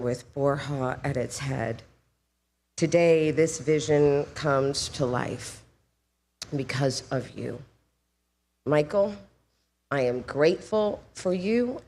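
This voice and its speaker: Slow, emphatic woman introducing a speaker. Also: low voice, a little mellow, slight background noise. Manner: emphatic, measured. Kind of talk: formal introduction speech.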